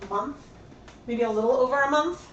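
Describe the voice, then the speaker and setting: A slightly hoarse woman hosting a casual livestream tutorial, chatty and thinking aloud with plenty of fillers. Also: high-pitched, normal speed, highs cut off, a little hiss or hum. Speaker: livestream host dyeing yarn. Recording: roomy sound from a distant mic.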